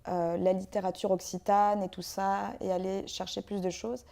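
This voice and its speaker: A woman from France, a guest author and poet, talking in an interview and pausing often with hesitant fillers. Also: medium pitch, little pitch movement, gravelly, warm tone. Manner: hesitant, frequent filler pauses. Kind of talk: interview conversation.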